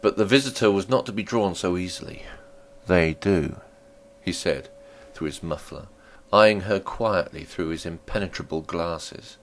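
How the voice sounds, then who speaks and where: A man's voice: low voice, moderate pace, a little hiss or hum. A man reading a classic story aloud as a dramatic audiobook narrator.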